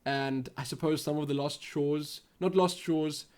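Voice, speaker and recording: A man's voice: a little high, steady tone, unhurried, a little mellow. A young man from South Africa with a Western Cape accent, hosting a casual gaming Q&A vlog and rambling as he thinks aloud. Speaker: YouTube gaming channel host.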